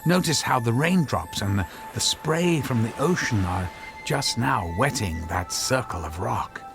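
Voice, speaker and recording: An actor playing an earnest, anxious physicist husband in a radio drama, explaining his invention in halting, stammering phrases. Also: medium pitch, lively intonation, over music. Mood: earnest, explanatory.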